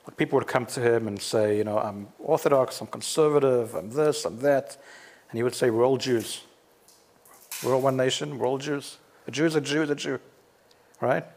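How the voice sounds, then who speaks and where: Man from south africa, talk show host, casual interview, probing, mid-pitched voice. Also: normal speed, darkish tone. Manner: probing, persuasive, talks in long runs.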